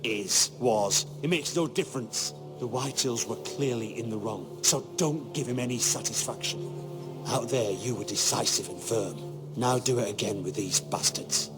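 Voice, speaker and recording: An actor playing a stern, hard-line retainer to a noble house in a fantasy game drama, with a commanding manner. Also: male voice, medium pitch, moderate pace, music in the background, natural voice. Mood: defiant. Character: stern, urgent, hard-line male retainer to young lord. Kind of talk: dramatic video game acting.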